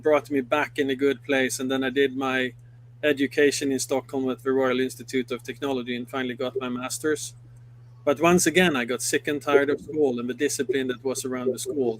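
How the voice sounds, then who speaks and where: A middle-aged man from Sweden with a Swedish accent, a company head recounting his life in a live interview, halting and full of fillers and restarts. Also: mid-range voice, a little hiss or hum, rough recording. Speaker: guest, company CEO. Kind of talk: live interview, personal story.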